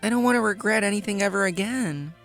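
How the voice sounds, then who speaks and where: A man's voice: high voice, fast, creaky, a bit bright, faint music behind, pitched above the performer's own voice. A man voicing a poor, earnest young boy in a manga story. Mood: determined.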